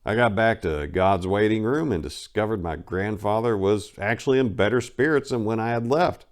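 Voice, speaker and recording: A man's voice: lowish voice, normal speed. A man narrating his own memoir in a casual first-person reading, wry and blunt, with crude humor.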